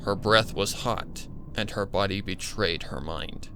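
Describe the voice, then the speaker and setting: Slow, low-voiced man narrating over music. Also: sharp tone, poor audio. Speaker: fan fiction narrator. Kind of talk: dramatic scripted horror story narration. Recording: music bed behind the voice.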